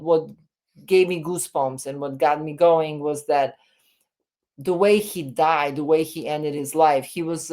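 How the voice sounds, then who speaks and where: Woman in her fifties, deep low voice, halting speech full of fillers, video call. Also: darkish tone. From Serbia. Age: 50s. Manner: hesitant, many fillers and restarts. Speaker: film director and writer, guest. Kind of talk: remote interview, reflective storytelling.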